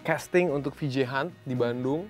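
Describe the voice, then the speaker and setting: A man from West Java with a Sundanese accent, a guest actor chatting casually in an interview, telling his story in a relaxed way and dropping English phrases into his talk. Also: medium pitch, moderate pace, soft background music. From West Java, Indonesia.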